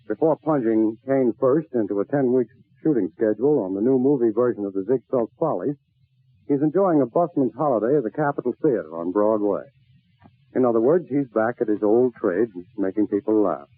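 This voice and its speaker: Husky 1940s radio host, male. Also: medium pitch, brisk. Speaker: variety show host. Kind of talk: 1940s radio announcing, scripted introduction. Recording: muffled, dry old 1940s radio broadcast.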